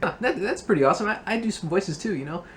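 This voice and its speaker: Guy doing a casual movie review, excited fan, kinda high voice. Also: quick pace, slight background noise. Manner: chatty, enthusiastic fan. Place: indoor room full of memorabilia.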